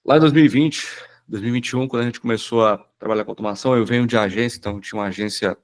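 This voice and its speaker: A man with a cold, hoarse and a bit nasal, giving a casual live presentation on a video call with many fillers and restarts. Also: on the low side, fairly even intonation, rapid-fire. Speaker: automation business owner presenting in a webinar.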